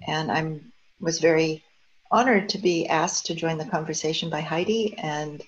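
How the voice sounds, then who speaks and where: A woman's voice: deep voice, normal speed, dull audio. An American woman introducing herself earnestly on a video call, searching for words as she goes. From United States. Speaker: founder of a dialogue organization.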